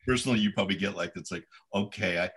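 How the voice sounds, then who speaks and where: A man co-hosting a casual interview, asking questions in a halting, searching way. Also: low voice, a bit bright, breathy edge.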